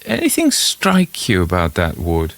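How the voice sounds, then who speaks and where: A man acts out an uneasy country gentleman from a classic ghost story. He speaks formally and a little hesitantly, puzzled, with a lively rise and fall over a low base. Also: unhurried, creaky, no echo, heavily acted. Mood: uneasy, grave.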